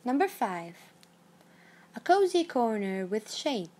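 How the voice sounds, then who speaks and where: A woman giving scripted narration of a numbered list in a measured, even read-aloud delivery. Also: fairly high pitch.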